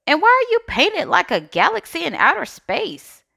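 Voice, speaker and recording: A woman's voice: very high-pitched, wildly swooping pitch, normal speed, gravelly, sharp tone, exaggerated voice. A woman voicing an anxious, bossy egg with a dramatic, exclaiming manner in a storybook read-aloud. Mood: searching, puzzled. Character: Shell, anxious bossy egg rallying missing friends.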